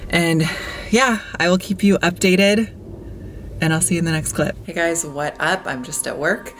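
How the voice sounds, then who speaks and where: A deep-voiced woman in her thirties talks straight to her viewers in a chatty, upbeat way. She holds the camera at arm's length while she records in her car, at the office and outdoors. Soft music plays faintly behind her. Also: normal speed. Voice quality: deep morning voice. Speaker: vlogger. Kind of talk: casual vlog narration. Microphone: handheld camera at arm's length.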